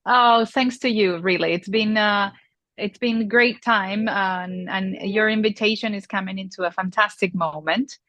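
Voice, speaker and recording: A woman in her forties from Peru, answering interview questions in a halting way with many fillers and restarts. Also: mid-range voice, fairly even intonation, a bit bright, no room sound. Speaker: luxury industry guest. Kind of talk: conversational interview.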